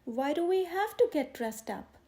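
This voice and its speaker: Woman playing a grumpy, high-pitched boy bear cub. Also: animated, normal speed, mellow. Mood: stubborn. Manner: whiny, grumbling complaints. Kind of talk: children's storybook character voice.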